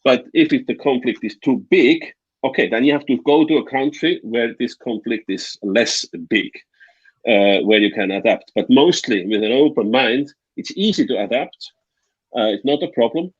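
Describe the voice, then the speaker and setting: A man's voice: medium pitch, normal speed, breathy edge, dry recording. A man from Switzerland with a Swiss German accent, chatting casually on a video call, hesitant and full of restarts. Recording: dull lo-fi call audio.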